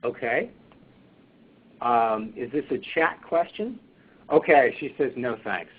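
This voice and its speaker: Man, husky, halting webinar moderator, tinny phone-line sound. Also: medium pitch, sing-song. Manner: halting, many fillers and restarts. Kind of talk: webinar presentation.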